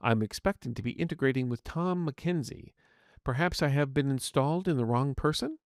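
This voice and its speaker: A man's voice: medium pitch, brisk, no echo, studio quality, natural voice. A man voicing a polite, earnest artificial intelligence medical assistant in an audio story, speaking formally and courteously. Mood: puzzled, polite. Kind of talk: scripted audiobook narration in character.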